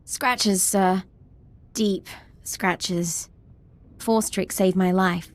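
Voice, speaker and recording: A young female ensign in a video game. Her voice sits at an ordinary middle pitch, and she sounds dutiful, respectful and earnest, with a wry edge. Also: fairly even intonation, drawn-out words. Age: young adult.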